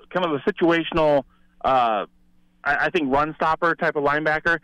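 Guest sports analyst, male. Mid pitch, crisp resonant voice, dry sound, talking through football prospects conversationally. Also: a bit bright, no echo, highs cut off.

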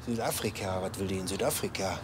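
An actor playing a brisk, nosy investigator with a colloquial manner in a radio drama. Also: male voice, low voice, slight background noise, natural voice. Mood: puzzled, brisk. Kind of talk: naturalistic radio drama acting.

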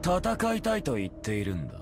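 An actor playing an arrogant, battle-hungry ice elf commander, taunting and contemptuous in a dramatic anime game villain style. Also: male voice, a little high, wildly swooping pitch, brisk, over music. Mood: haughty amusement.

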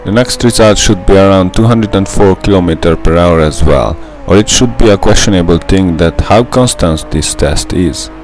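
A man's voice: low-pitched, normal speed, hardly any pauses, a little mellow, soft background music. A young man with a Hungarian accent, a native Hungarian speaker, narrating a gameplay tutorial in a careful, methodical way. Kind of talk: scripted gameplay voice-over.

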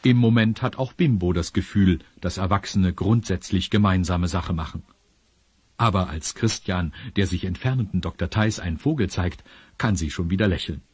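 A man with a husky voice reading scripted narration for a children's audio story. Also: sits low, normal speed, no echo. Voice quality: husky, raspy. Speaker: narrator of a children's radio play.

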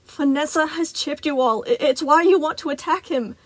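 A woman voicing a big male animatronic bear who is protective and desperate, pleading and yelling to be heard. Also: sits high, normal speed, no room sound, pitched above the performer's own voice, lightly acted.

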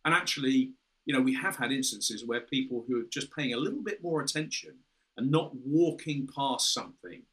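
Bright-voiced British man explaining on a call. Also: medium pitch, expressive, normal speed. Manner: explanatory, with many restarts and "you know". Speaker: guest, former fighter pilot. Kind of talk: casual interview over video call. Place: room with a window and red curtains, indoors. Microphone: video call.

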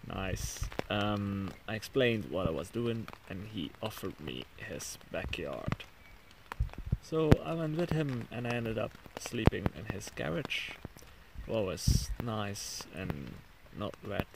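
A young man with a Belgian accent recapping his hike in a casual, low-key, halting way, talking to a handheld camera inside a small tent. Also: lively intonation. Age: young adult. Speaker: hiker vlogger. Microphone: camera or phone at arm's length. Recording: clearly noisy small-camera recording.

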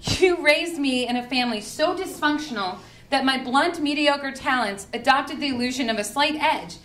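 A young woman reads a comic essay to a live audience in a high, very lively voice. Her delivery is wry and performed, and the room adds clear echo. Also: normal speed. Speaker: reader at a book reading. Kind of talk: reading humorous essay aloud to live audience. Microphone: podium microphone on a stand. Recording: roomy live event with faint noise.

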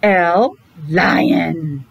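Slow-paced middle-aged woman teaching little kids. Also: lowish voice, creaky, slightly rough. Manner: simple, deliberate phrasing with chatty self-talk. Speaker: preschool teacher. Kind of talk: children's classroom lesson. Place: small indoor classroom.

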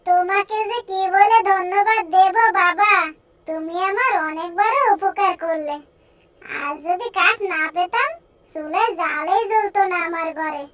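Someone doing a high, bright old village granny. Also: a little slow. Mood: grateful. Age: older. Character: grateful, kindly old village woman, timid of ghosts. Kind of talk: children's cartoon story acting.

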